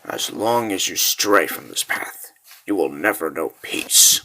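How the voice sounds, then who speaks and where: A man voicing a grave, solemn mentor in a comic dub, speaking slowly and low. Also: animated, bright, forceful tone, exaggerated voice, poor audio. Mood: stern. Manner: slow, solemn, low-voiced. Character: grave, solemn mentor urging a warrior onward.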